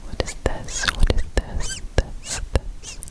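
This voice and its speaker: A woman whispering an ASMR roleplay as an examiner, gentle and reassuring, repeating words softly in a breathy whisper. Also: takes it slowly. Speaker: ASMR performer playing an examiner.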